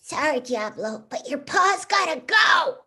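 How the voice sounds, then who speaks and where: An actor voicing a small, squeaky, gleefully amoral female rodent in an animated comedy. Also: unhurried, heavily acted. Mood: calmly menacing. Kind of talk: table read of animated comedy script.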